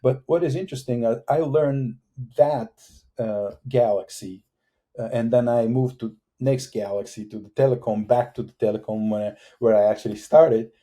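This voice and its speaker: A middle-aged man from Bulgaria with a Bulgarian accent, a guest talking casually in an interview over a video call, halting with many fillers and restarts. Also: mid-range voice, dark tone. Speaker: guest engineer.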